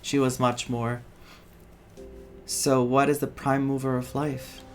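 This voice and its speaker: A man streaming a story game, reading dialogue aloud and adding his own expressive reactions. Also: medium pitch, takes it slowly. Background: game music.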